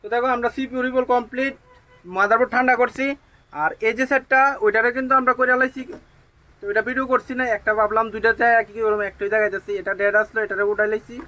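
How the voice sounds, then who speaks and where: A man with a strikingly high voice and a Tripura Bengali accent talks at a quick clip. He explains repair work informally, as a hands-on technician, repeating his assurances with emphasis. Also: fairly even intonation, bright, forceful tone.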